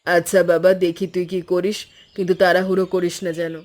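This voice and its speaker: A woman's voice: on the low side, flat delivery, brisk, a little mellow, slight background noise, close to an everyday voice. A woman voicing a worried, ailing elderly mother in a cartoon story. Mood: anxious, resigned. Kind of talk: animated story voice acting.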